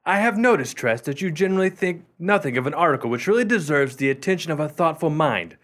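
Man acting a pompous, animated antiquities collector. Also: a little high. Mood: smug, needling. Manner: formal, pompous, deliberate enunciation. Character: pompous, wordy male antiquities collector, competitive and proud. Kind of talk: radio play acting.

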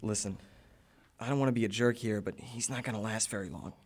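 A man's voice: on the low side, brisk, background noise, lightly acted. An actor playing an urgent, practical, take-charge teenage boy in a radio drama, bossy and slangy. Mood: hushed, worried.

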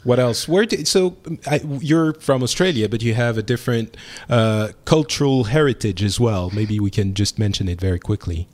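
A man's voice: mid-range voice, expressive, moderate pace, hardly any pauses, a little mellow. A man with a French accent hosting a podcast roundtable, chatty and laughing often. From France. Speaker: podcast host. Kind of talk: casual roundtable chat. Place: home in Paris.

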